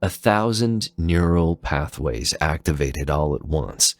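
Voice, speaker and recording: A man's voice: a bass voice, expressive, slow pace, dry recording, studio quality. A man narrating a dramatic story in a solemn, theatrical manner.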